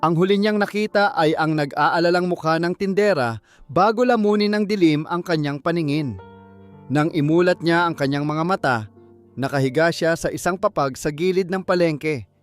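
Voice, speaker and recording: A man's voice: on the high side, normal speed, no room sound, faint music behind. A man narrating a dramatic scripted audiobook story.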